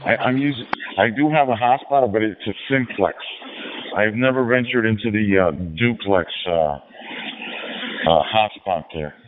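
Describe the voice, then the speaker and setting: Low-voiced man rambling over lo-fi radio. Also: measured pace. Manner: rambling, repetitive, frequent "you know". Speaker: amateur radio operator. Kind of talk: casual chat over radio. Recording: dull lo-fi digital radio transmission.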